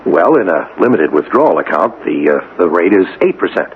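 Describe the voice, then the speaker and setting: A man's voice: deep voice, animated, brisk. An actor playing an earnest, easily flustered man of authority, formal and hesitant, in a radio drama. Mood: flustered, indignant. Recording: thin, phone-like old radio with noise.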